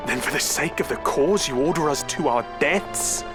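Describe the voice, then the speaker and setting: An actor playing a defiant rebel commander in a fantasy game, dramatic and archaic, with music behind. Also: male voice, on the high side, animated, moderate pace, natural voice. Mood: defiant, scornful. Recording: game clip under loud music.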